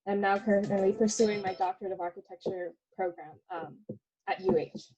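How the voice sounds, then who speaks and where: A young woman from Hawaii gives an informal student presentation. Her voice is mellow and relaxed at a medium pitch. The audio is a bit dull, as from an online call. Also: normal speed. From Hawaii, United States.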